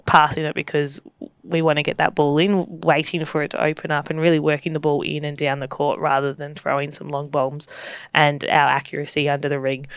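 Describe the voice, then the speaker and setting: A low-voiced woman with a slightly croaky edge talks netball in an easy, chatty way. Her pitch stays fairly flat, and the sound is very clear and close-miked in a dry space. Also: no echo. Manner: chatty, laughs often, many fillers. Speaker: co-host and netball coach. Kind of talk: casual sports chat.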